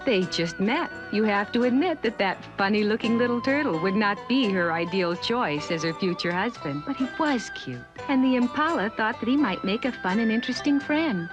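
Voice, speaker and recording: Animated woman narrating a children's story. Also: mid-range voice, normal speed, few pauses, muffled recording, music in the background. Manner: expressive, chatty storytelling with asides to listeners.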